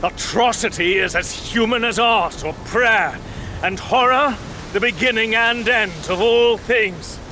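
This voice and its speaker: An actor playing a proud, ruthless aristocratic lord in a gothic audio drama, speaking in an imperious, declamatory way. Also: male voice, sits high, unhurried, sharp tone, slight background noise, rough recording. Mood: grandiose.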